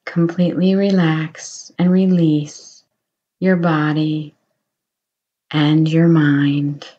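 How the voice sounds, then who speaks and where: A woman guiding a meditation in a slow, soothing voice, offering gentle reassurance. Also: low-pitched, flat delivery, dull audio. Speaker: meditation guide. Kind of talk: guided meditation.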